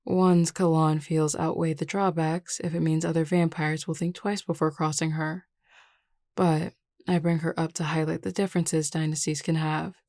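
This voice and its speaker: A woman playing a confident, reassuring werewolf pack beta in a scripted audio roleplay, speaking in a measured, explanatory way. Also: low voice, a little mellow, dry recording, studio quality. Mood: frank, reassuring.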